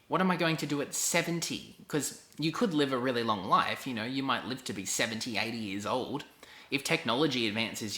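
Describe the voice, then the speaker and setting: A man's voice: medium pitch, sharp tone. A young man, giving a casual, conversational how-to talk and repeating phrases for emphasis. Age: 20s. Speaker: lifestyle vlogger. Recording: echoey room.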